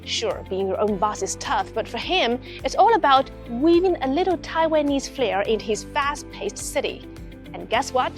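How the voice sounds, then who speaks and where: Breezy upbeat woman host, fairly high. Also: very animated, moderate pace, faint music behind. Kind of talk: presenting a magazine show.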